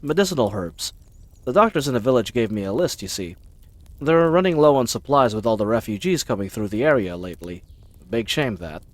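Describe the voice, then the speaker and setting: A man's voice: medium pitch, close to an everyday voice. A man playing a friendly, bumbling knight in a fantasy audio roleplay, chatty and rambling, with awkward, flustered hesitations. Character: friendly bumbling babbling young-hearted male knight, eager and awkward.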